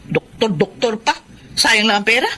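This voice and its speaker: A woman's voice: fairly high pitch, animated, normal speed. A woman playing a stingy, scolding middle-aged mother in a radio drama, with a Filipino accent and a scornful manner. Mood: dismissive. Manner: sharp, scornful, dismissive.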